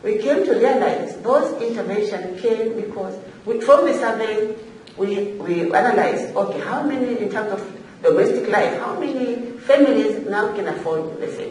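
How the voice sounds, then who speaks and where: A woman with a Tanzanian accent giving semi-formal panel remarks off the cuff, halting, repetitive and emphatic. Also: medium pitch, darkish tone. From Zanzibar, Tanzania. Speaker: forum panelist, African regional official. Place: echoey indoor forum room. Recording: echoey room, distant mic, faint noise.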